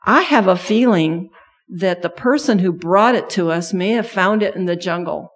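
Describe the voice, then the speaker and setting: A woman's voice: on the low side, moderate pace. A middle-aged woman who grew up in Thailand and speaks Thai natively, telling a children's sermon story and asking the kids many questions.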